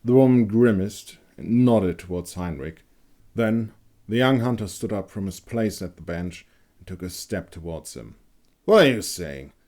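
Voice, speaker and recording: A man giving a dramatic narration of a dark fantasy story. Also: fairly low pitch, normal speed. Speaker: author and narrator.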